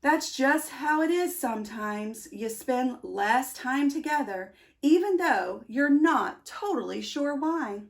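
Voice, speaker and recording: A woman reading a children's picture book aloud in an expressive, playful storytelling style. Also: sits high, slow, gravelly, hardly any pauses.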